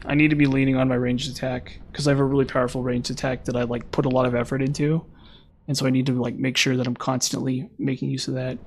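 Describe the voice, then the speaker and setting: A young man streaming a game, chatting casually with viewers in a rambling way, his voice slightly hoarse from long talking. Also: mid-range voice, level tone, fast talker, slight background noise. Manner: rambling, lots of "like" and "um". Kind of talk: casual live-stream chat while gaming.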